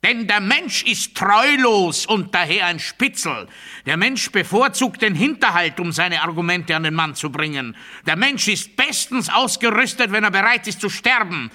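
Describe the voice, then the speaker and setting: An actor playing a pompous, paranoid new ruler in a radio play monologue, declaiming to his people in a sharp, forceful, theatrical voice that moves between proclamation and confiding. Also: high voice, expressive, normal speed, full character voice. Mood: grandly proclaiming. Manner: declamatory oratory, swinging from loud proclamation to hushed confiding.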